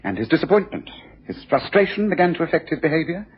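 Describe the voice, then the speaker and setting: Brisk, animated detective, shrewd and formally precise, for muffled radio drama. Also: male voice, a little high, husky. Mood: measured, concerned.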